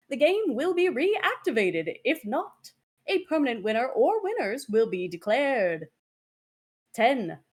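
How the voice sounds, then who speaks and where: A woman playing an official announcer reading out game rules in a formal, emphatic, carefully enunciated manner. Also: fairly high pitch. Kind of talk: scripted table read, formal announcement.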